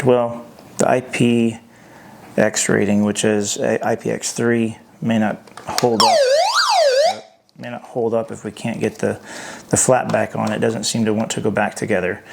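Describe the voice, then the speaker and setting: A man's voice: fairly low pitch, vocal fry, noisy background. A man giving an unscripted hands-on product review as host, thinking aloud in a hesitant way.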